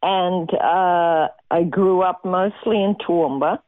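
A middle-aged woman from Australia, a guest telling her faith story earnestly with many fillers and pauses. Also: on the low side, no echo. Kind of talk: personal testimony interview. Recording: tinny phone-like sound, dry.

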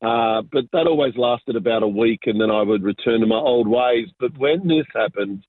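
A man in his forties recounts his life in a halting, reflective way, with many pauses and fillers. The recording is dry and close, with the narrow, thin sound of a phone line. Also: mid-range voice, no room sound. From Argentina, raised in Sydney. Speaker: guest, business pastor. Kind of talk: casual interview storytelling.

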